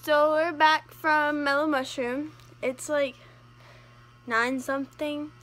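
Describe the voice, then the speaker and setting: A woman's voice: sits high, flat delivery, slow pace, a bit bright. A teenage girl chatting casually into her phone at home, sounding congested and sniffly, rambling with lots of fillers. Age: teens. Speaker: vlogger. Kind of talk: casual vlog chat. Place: home rooms and bedroom. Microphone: phone held at arm's length.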